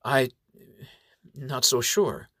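A man reading a storybook voices a shy, submissive male elf who speaks halting broken English. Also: medium pitch, fairly even intonation, a bit bright, no echo. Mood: unsure, stubborn.

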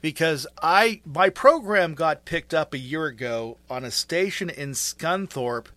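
A man's voice: fairly high pitch, animated, measured pace, sharp tone, no room sound. A man from western Pennsylvania with a local accent, hosting an interview and asking curious, rambling questions. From western Pennsylvania, United States. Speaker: radio and podcast host. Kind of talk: casual interview chat.

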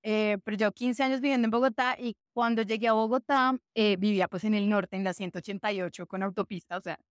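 A woman's voice: medium pitch, fairly even intonation, quick pace, no echo, clean studio sound. A young woman from Colombia, chatting in an interview and filling her talk with lots of small fillers. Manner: chatty, full of fillers like "o sea" and "pues". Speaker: guest, café co-founder. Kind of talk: casual conversational interview.

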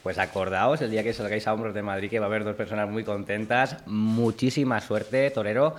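Jovial Spanish talk show host. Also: male voice, on the low side, fairly even intonation, fairly quick. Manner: jovial, teasing, thanks guests warmly. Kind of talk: casual chat, wrapping up the show.

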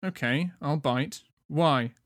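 A man's voice: fairly high pitch, expressive, normal speed, fairly bright tone, dry recording, clean studio sound. A man voicing a bold, impatient female adventurer in a fantasy audiobook, curt and challenging.

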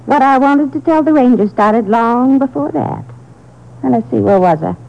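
Casting: fussy, rambling older lady, highish animated voice, old radio play, dulled sound. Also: normal speed, gravelly. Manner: rambling, fussy, easily sidetracked. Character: fussy, rambling, asthmatic older female hotel guest. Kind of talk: 1950s radio drama acting. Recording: muffled vintage radio recording.

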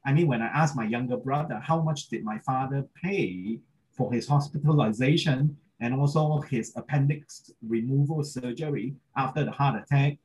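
A man's voice: mid-range voice, moderate pace, darkish tone, poor audio. A man from Malaysia, being interviewed and talking thoughtfully, often checking in with "right?". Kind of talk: casual interview.

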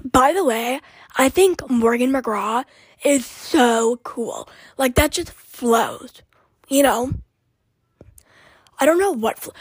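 A young woman hosting a podcast with a raspy voice, chatting in a scattered, rambling way and often dropping into whispered asides. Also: on the high side, no echo. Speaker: podcast host. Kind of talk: casual rambling chat.

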